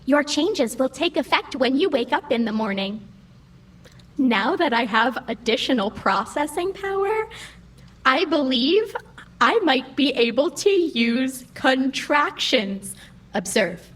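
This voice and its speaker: High-voiced, timid computer program. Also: female voice, sing-song, slow, fairly bright tone, exaggerated voice. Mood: earnest, formal. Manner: formal, precise, literal phrasing with few contractions. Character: timid, literal-minded female computer program, easily hurt. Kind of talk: scripted audio drama acting.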